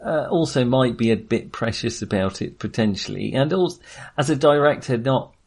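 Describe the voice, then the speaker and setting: Man, podcast co-host, mid-range pitch, hesitant, halting, casual film talk. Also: expressive, gravelly, slightly rough. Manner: hesitant, halting, thinking aloud.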